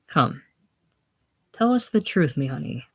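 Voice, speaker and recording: A reader voicing a gruff old farmer who mocks and jeers loudly. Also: mid-range voice, lively intonation, moderate pace. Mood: jeering. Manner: loud, teasing, contemptuous. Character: gruff old Irish farmer, mocking and jeering.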